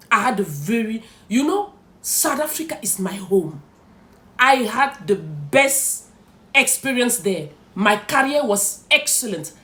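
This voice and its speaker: A woman's voice: on the high side, pushed and bright. A middle-aged woman from Cameroon whose first language is French, giving emphatic immigration advice to viewers and posing rhetorical questions. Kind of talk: direct-to-camera advice talk.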